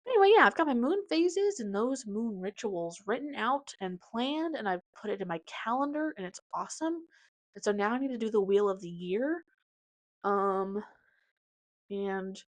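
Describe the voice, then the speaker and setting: A woman's voice: a little high, dry recording. A woman in her late twenties giving a chatty, casual personal update as a podcast host, hopping between topics with slang and asides. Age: 20s.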